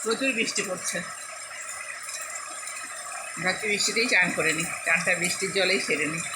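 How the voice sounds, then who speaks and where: A woman's voice: lowish voice, moderate pace. A woman chats casually to viewers as a vlogger, musing and repeating her remarks. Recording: loud rain noise over voice. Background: steady rain and falling water.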